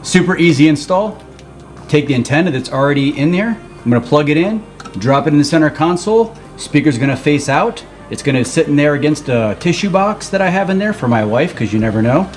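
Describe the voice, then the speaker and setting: A man's voice: fairly high pitch, lively intonation, a little fast, soft background music. A man talking casually through a hands-on how-to in plain, informal steps, as the host of a vlog. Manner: informal, plain-spoken step-by-step explaining.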